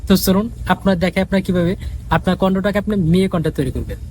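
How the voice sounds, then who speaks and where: A man's voice: sits high, expressive, very fast, darkish tone, a little hiss or hum. A man giving a casual, repetitive step-by-step app tutorial as the host. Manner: repetitive, instructional.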